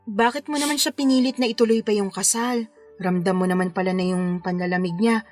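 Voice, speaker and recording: An actress playing a caring wedding planner and concerned confidante, speaking earnestly in a Filipino radio drama. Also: female voice, medium pitch, steady tone, fairly quick, darkish tone, soft background music, lightly acted. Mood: earnest advising. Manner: soothing, earnest, advising.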